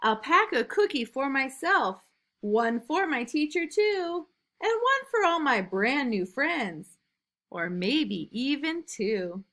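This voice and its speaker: A woman reading a rhyming storybook as an eager, imaginative little boy, in an emphatic sing-song with drawn-out line endings. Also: sits high, big pitch swings, a bit bright, voice pushed up, full character voice. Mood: eager, excited. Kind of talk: rhyming storybook read aloud.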